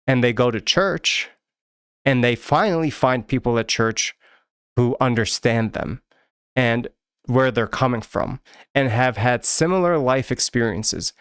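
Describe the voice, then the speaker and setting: Casting: American male host, smooth clear voice, dry close-mic sound, earnest and candid commentary. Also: mid-range voice, no room sound. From United States. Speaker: YouTube channel host. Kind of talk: personal commentary to camera.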